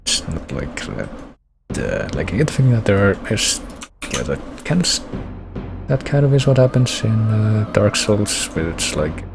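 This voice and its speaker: A man's voice: lowish voice, big pitch swings, moderate pace, vocal fry, over music. A man streaming a video game, rambling and thinking aloud as he plays. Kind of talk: gameplay commentary.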